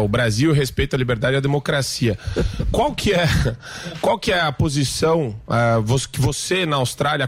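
A man on a political panel who puts a long, halting question to a guest. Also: medium pitch. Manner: halting, restarts while questioning.

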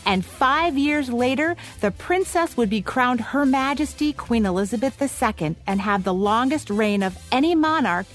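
An American woman co-hosting a podcast, narrating scripted radio history with polished, clearly phrased reading. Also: fairly high pitch, lively intonation, normal speed, hardly any pauses, soft background music. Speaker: podcast co-host.

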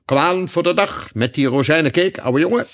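A man's voice: on the high side, lively intonation, moderate pace, fairly bright tone, voice pushed up. A man voicing a haughty, carefree and impatient unicorn in a storybook, with a teasing, exclamatory delivery. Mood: nonchalant, haughty.

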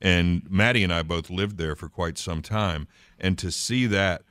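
A man co-hosting a morning radio show, in an easy, reflective conversational way. His voice sits very low and sounds smooth and resonant. The studio sound is dry and close. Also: unhurried, a bit bright, no echo. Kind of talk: casual radio chat.

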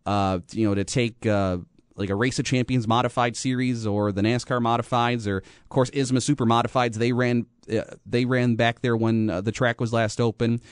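An American man hosting a motorsport radio show, talking informally and haltingly, often rephrasing mid-sentence. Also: medium pitch, steady tone, clear, resonant tone, no echo, studio quality. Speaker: radio host. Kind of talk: motorsport talk radio monologue.

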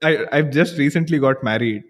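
A man's voice: mid-range voice, moderate pace. A man with an Indian accent hosting a casual podcast conversation, chatty and given to linked asides. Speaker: podcast host.

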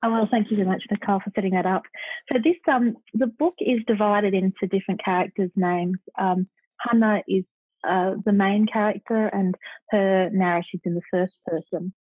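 A woman's voice: mid-range voice, steady tone, moderate pace, husky, no room sound. A middle-aged Australian woman author, talking thoughtfully in an interview and stopping and restarting as she goes. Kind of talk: interview and reading aloud. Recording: dry, phone-like line.

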